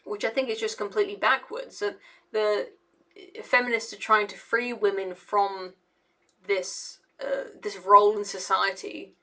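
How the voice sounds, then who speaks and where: A woman's voice: mid-range voice, little pitch movement, unhurried, fairly bright tone. A young woman, an engineer, giving casual commentary to camera in a hesitant, rambling way with many fillers and restarts. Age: young adult. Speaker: engineer and video essayist.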